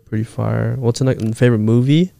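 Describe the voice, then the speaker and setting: A young man chatting on a podcast with a mellow, relaxed tone of voice. He jokes around, swears freely and laughs often. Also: mid-range voice, a little fast, vocal fry. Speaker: podcast co-host. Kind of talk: casual chat.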